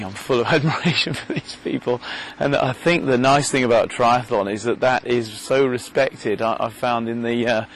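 A man's voice: medium pitch, rough recording. A man talks off the cuff into a handheld recorder outdoors, his voice in the middle of the range. He wanders, stops and restarts, fills gaps with "uh" and "um". Faint outdoor noise sits behind him. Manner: rambling, hesitant, many fillers and restarts. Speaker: podcast host. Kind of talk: casual on-the-spot commentary and interviews. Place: outdoors at a race lakeside.

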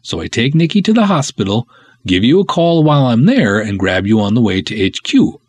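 A man's voice: medium pitch, sing-song, a little fast, a little mellow, dry recording. A man voicing an earnest, simple, dutiful Norwegian-American cop telling his comic serial tale in a folksy, literal-minded way. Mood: earnest storytelling. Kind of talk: comic first-person serial storytelling.

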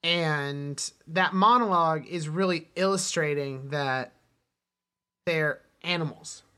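A man co-hosting a casual film discussion, emphatic but often halting as he searches for words. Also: fairly high pitch, sharp tone, clear, resonant tone. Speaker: podcast co-host.

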